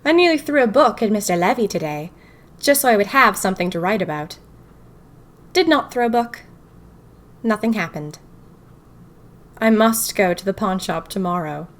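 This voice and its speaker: A woman acts out a bored, imaginative young typist from the 1920s in a diary-style fiction podcast. Her medium-pitched voice is expressive and wry. She confides each entry with dramatic pauses and a whimsical sense of mystery. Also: close to an everyday voice. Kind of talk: first-person diary audio fiction narration.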